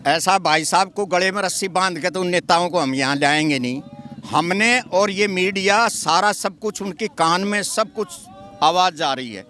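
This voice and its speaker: Older man, emphatic, high and bright. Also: normal speed. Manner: emphatic, argumentative. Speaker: protesting farmer.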